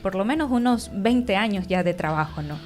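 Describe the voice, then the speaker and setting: Measured woman radio interviewer. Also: mid-range voice, animated.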